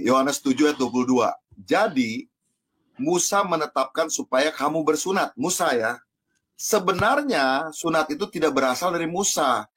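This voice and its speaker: A husky-voiced man, pitched slightly high. He talks as a pastor in a debate, cutting in and admonishing while he teaches how scripture should be read. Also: fairly bright tone. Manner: interrupting, admonishing, informal. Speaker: pastor on a panel. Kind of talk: religious debate, scripture instruction.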